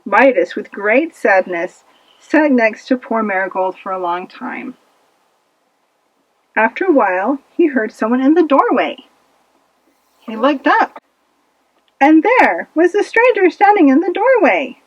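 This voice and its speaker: A woman narrating a children's puppet-show fable with expressive, dramatic delivery, in a slightly muffled recording. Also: high voice, measured pace, long pauses, no echo. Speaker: storyteller.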